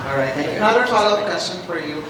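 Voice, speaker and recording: A woman asking brisk, polite questions at a press meet. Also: on the low side, lively intonation. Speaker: pageant media interviewer. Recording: echoey room, distant mic, hum.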